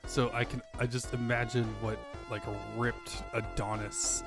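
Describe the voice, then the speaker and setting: A man's voice: medium pitch, little pitch movement, a little slow, over loud music. A man hosting a comic fandub with casual, chatty commentary, joking and swearing freely. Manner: jokey, sweary. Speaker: host and fandub reader.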